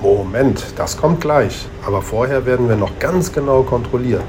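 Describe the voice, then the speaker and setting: A man's voice: mid-range voice, expressive, moderate pace, noisy background, lightly acted. A man playing a friendly, knowledgeable returnable bottle who patiently explains things in a children's radio play. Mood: patiently explaining. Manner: reassuring, explanatory.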